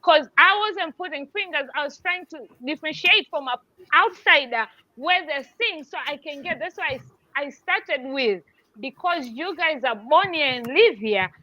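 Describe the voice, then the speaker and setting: A woman's voice: high-pitched, expressive, moderate pace, bright, forceful tone, dry recording, lo-fi sound. A woman from Tanzania, a guest on a podcast, insisting on finishing her point and frustrated at being cut off. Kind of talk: heated casual debate.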